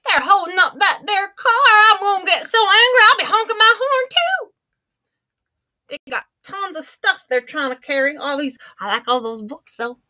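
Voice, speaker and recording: A woman's voice: high voice, normal speed, few pauses, pushed and bright. A woman voicing a folksy old grandma who reads a storybook aloud in a chatty, homespun, theatrical way. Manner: chatty and theatrical, gasping and exclaiming.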